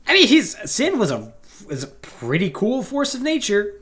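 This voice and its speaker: An animated podcast host talks casually about games. The pitch moves widely around a middle register, and the delivery often tips into sarcastic asides. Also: normal speed. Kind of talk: casual video game discussion.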